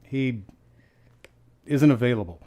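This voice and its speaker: A man's voice: medium pitch, slow pace, dark tone, lo-fi sound, natural voice. An actor playing a dutiful, unflappable male intelligence officer in an audio drama, formal and controlled. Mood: guarded.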